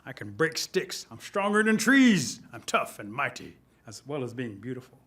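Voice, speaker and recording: A man voicing a vain, boastful turtle who declares himself a mighty warrior in an animated folktale, with emphatic bragging. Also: mid-range voice, normal speed. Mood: proud, dismissive. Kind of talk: animated oral folktale storytelling.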